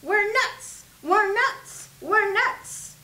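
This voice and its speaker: Giddy storybook nut child, performed by a woman: very high, gleeful, chanting, forceful. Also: animated, drawn-out words, roomy sound, heavily acted. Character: giddy little singing nut children, boy and girl.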